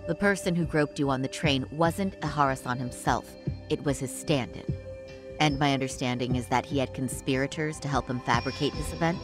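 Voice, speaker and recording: A woman playing a composed, courteous professional in an English-dubbed crime game, formal and measured. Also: very deep voice, music in the background. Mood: formal, questioning. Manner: polite, measured.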